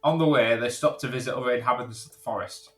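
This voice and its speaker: A man's voice: mid-range voice, fast talker, fairly bright tone. A man talking casually as a podcast guest, informal and enthusiastic. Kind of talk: casual chat. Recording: echoey room.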